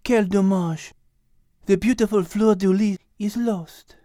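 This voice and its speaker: A man plays a refined French she-cat from an animal audiobook. The voice is high and lilting, with a French accent and precise, learned phrasing. It sounds gentle and clever, recorded close and dry. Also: slow pace, no room sound. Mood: gentle, saddened. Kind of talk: audiobook character acting.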